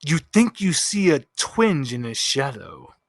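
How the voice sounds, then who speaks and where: A man's voice: a little high, sing-song, a little slow, dry recording, studio quality. A man narrating a tabletop role-playing game as game master, improvising descriptions for the players.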